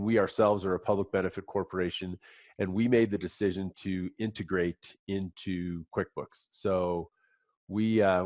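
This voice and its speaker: A man presenting in a webinar, stuffed up with a cold, talking haltingly with many fillers and restarts. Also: deep voice, no echo. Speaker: app company guest.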